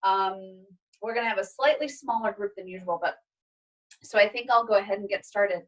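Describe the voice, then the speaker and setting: A woman's voice: mid-range voice, a little fast. A woman teacher hosting an online class read-aloud, talking casually to her group over a video call. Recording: distant microphone on video call.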